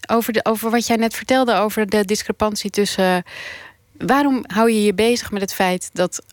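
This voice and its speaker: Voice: medium pitch, steady tone, moderate pace, no room sound, clean studio sound. A radio host conducting an interview, probing and searching for the right wording.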